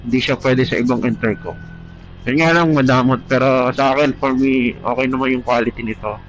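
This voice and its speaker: A man's voice: medium pitch, steady tone, brisk. A Filipino man with a stuffy, congested voice from a cold, chatting casually and a bit hesitantly as a motovlogger, slipping into English phrases. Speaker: motovlogger reviewing gear. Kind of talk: casual vlog chat. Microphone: helmet Bluetooth intercom. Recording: dull, noisy Bluetooth intercom mic, vehicle sound.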